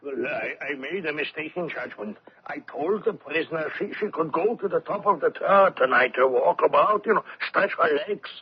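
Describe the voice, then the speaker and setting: A man's voice: on the high side, animated, normal speed, few pauses, a little hiss or hum, natural voice. An actor playing an anxious, deferential German soldier and jailer in a radio drama, hesitant and pleading, stammering over his words. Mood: nervous, defensive. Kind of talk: 1970s radio drama acting. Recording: muffled old radio recording with music.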